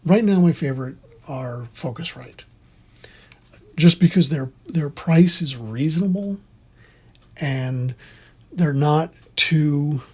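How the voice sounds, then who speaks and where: An instructor of unclear sex giving an informal, opinionated tutorial in a husky, conversational voice that rambles along. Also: medium pitch, takes it slowly, pauses often, a little mellow. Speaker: instructor in theater sound.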